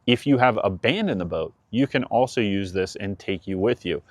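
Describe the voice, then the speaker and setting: A man's voice: fairly low pitch, expressive, moderate pace, no echo. A man explaining practical safety advice to viewers in a matter-of-fact, conversational, instructive way. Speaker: presenter of a boating advice channel.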